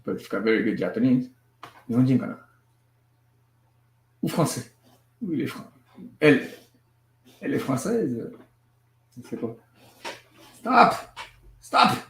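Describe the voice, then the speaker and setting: A man hosting a casual family livestream from home, moving easily between languages with a relaxed, filler-heavy way of talking. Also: medium pitch, measured pace, pauses often. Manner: switches between languages mid-sentence. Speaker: livestream host and father. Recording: echoey room sound.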